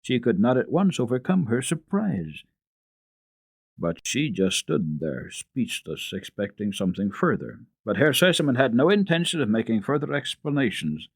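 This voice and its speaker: A man narrating a children's classic as a radio storyteller, in a measured, expressive, formal reading. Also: mid-range voice, husky, dry recording, studio quality.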